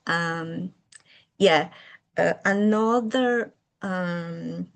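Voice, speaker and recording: Woman, Brazilian accent, native Portuguese speaker, slow unhurried pace, lowish voice, hesitant with fillers. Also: gravelly. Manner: hesitant, searching for words with fillers. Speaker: guest, children's author. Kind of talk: interview answers.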